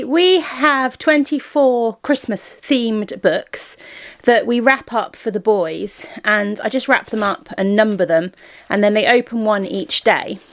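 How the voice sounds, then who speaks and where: A woman's voice: fairly high pitch, fairly bright tone. Echoey, chatty woman vlogging at home. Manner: informal, rambling, thinking aloud. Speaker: vlogger and host of a daily family and craft vlog. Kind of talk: casual chatty vlog to camera. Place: indoors at home. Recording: roomy, echoey indoor room.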